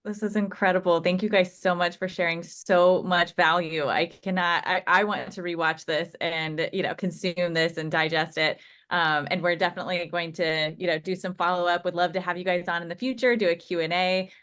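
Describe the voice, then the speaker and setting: A woman hosting an online session, thanking the guests warmly as she wraps up. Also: on the low side, fairly even intonation, moderate pace, few pauses. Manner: grateful, gushing. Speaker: host. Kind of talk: interview wrap-up.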